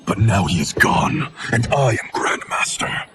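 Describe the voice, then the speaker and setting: A man's voice: on the low side, very animated, unhurried, background noise. A man playing a proud, impatient clan grandmaster in a video game, curt and imperious. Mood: impatient, domineering. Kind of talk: cinematic video game acting.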